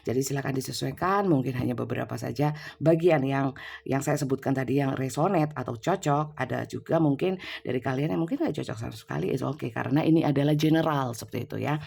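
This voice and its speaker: Woman tarot reader with a cold, gravelly and chatty, phone-mic sound. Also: a bass voice, fast, darkish tone, a little hiss or hum. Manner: chatty, many fillers and tag "ya". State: has a cold. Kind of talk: casual general tarot reading. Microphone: phone's built-in mic, no external mic.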